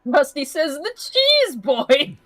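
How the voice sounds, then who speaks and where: Woman, slightly high sharp voice, very dry close sound, livestream banter, blunt, sweary, emphatic. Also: sing-song, slow pace, dry recording. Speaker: livestream co-host.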